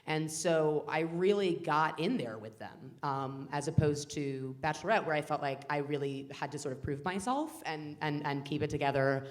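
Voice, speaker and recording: Low-voiced woman director, rambling. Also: moderate pace, hardly any pauses. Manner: rambling, many fillers and restarts, animated quoting. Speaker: film director. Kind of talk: casual panel chat. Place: festival cafe stage.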